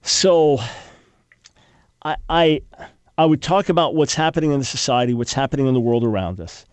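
A man's voice: medium pitch, moderate pace, a little mellow, clear, resonant tone, no echo. Congested older man, emphatic radio host. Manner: emphatic, argumentative, frequent restarts. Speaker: radio talk show host. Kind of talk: call-in talk radio.

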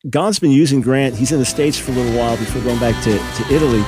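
A man hosting a radio talk show in a studio, friendly and quick with follow-up questions. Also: mid-range voice, over loud music. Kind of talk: radio interview.

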